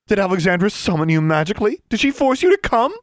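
A man's voice: mid-range voice, sing-song, normal speed, slightly rough, no echo, clean studio sound, pitched above the performer's own voice. A man voicing a distressed, protective mother in a story, agitated and reproachful. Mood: bitter, angry.

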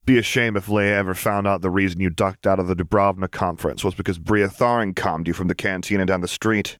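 A man's voice: sits low, steady tone, fairly quick, a bit bright, no room sound, clean studio sound. A man voicing a mischievous Jedi master with a breezy, teasing, mock-innocent farm-boy manner in an audiobook story. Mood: needling.

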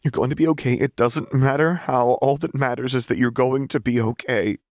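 A man's voice: mid-range voice, fairly even intonation, moderate pace, darkish tone, dry recording, studio quality, voice pushed up, lightly acted. A man voicing a caring young woman healer in an audiobook, earnest and soothing, with some worry. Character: gentle, caring young woman healer, worried but playful.

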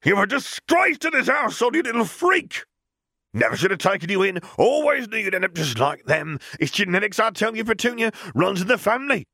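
A man's voice: high-pitched, very animated, a little fast, bright, forceful tone, dry recording, clean studio sound, pitched above the performer's own voice, heavily acted. A man voicing an enraged, bullying middle-aged uncle in a ranting, contemptuous manner, in an audiobook. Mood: furious ranting.

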